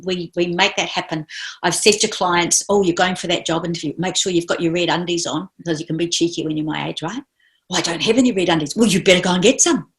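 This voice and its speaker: A woman's voice: fairly low pitch, quick pace. Middle-aged woman with a Kiwi-Aussie accent. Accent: New Zealand mixed with Australian. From New Zealand. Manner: lively storyteller, acts out quoted dialogue, laughs often. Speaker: guest speaker. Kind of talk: motivational talk.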